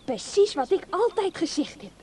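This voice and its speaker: Nosy, excitable woman with high voice. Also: normal speed. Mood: knowing. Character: nosy, excitable, know-it-all gossiping woman. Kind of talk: Dutch radio play acting. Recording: noisy old radio drama recording.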